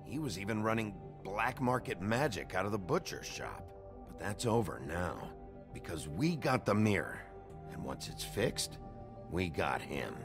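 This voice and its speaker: A world-weary noir game sheriff with a low American voice. He is blunt and streetwise, with lively inflection over music. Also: measured pace. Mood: businesslike. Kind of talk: video game character acting. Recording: music behind the voice.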